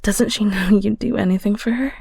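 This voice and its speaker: A woman playing a wry, fond inner-voice narrator in an audio roleplay, speaking softly and intimately in the second person. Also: mid-range voice, steady tone, a little fast, gravelly, husky, no echo, studio quality.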